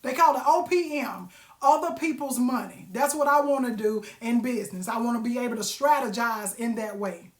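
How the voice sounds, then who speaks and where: Raspy woman giving a folksy pep talk. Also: fairly high pitch, moderate pace. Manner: preachy, folksy, asks the audience questions. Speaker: live-stream host. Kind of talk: motivational casual talk. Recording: roomy echo.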